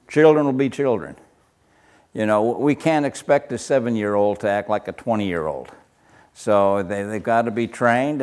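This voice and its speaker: An older man with a soft, folksy voice leading a casual Bible study class. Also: fairly low pitch. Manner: soft-spoken, folksy, chuckles often.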